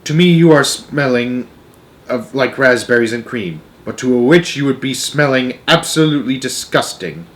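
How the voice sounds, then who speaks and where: A man's voice: mid-range voice, animated, moderate pace, a bit bright, lightly acted. Man voicing an emphatic, knowing old storybook grandmother. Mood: grave, knowing. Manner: emphatic, matter-of-fact explaining. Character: old grandmother, knowing, grave, relishing grim witch lore. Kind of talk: storybook read-aloud character.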